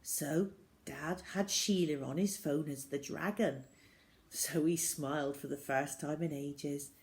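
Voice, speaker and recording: A woman reading a children's novel aloud as an expressive storyteller. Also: low voice, takes it slowly.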